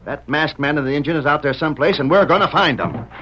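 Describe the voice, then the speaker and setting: An actor playing a blustering, scornful Old West sheriff with an American Western accent and a gruff, scolding manner, in an old-time radio western. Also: male voice, a little high, quick pace, bright, forceful tone. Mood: impatient, urgent. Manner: gruff, scolding, folksy frontier insults. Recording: noisy, dull old radio recording.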